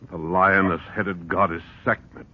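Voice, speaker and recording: An actor playing a grave, solemn museum professor in a 1940s radio horror play, speaking portentously. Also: male voice, low voice, flat delivery, measured pace. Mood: grave, ominous. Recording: scratchy, muffled 1940s radio recording.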